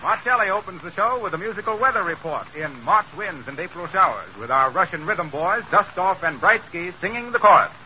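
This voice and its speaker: A man's voice: high-pitched, expressive, a little fast, hardly any pauses. An American man announcing a 1930s radio show in showy, scripted style. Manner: showy, alliterative delivery. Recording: dull old radio recording, faint music behind.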